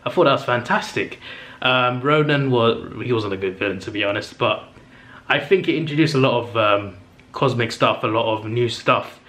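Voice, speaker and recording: Young guy rambling excitedly about his fave films, lots of ums and restarts, voice getting a bit worn, plain middle pitch. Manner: chatty and enthusiastic, with frequent fillers and self-corrections. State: losing his voice. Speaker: movie review channel host. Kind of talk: casual ranking monologue to camera.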